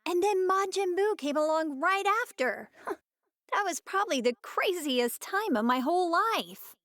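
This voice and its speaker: A woman voicing a spirited, admiring young woman in an animated video game, earnest and expressive. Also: extremely high pitch, measured pace, no echo, full character voice. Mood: reminiscing. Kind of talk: animated game character dialogue.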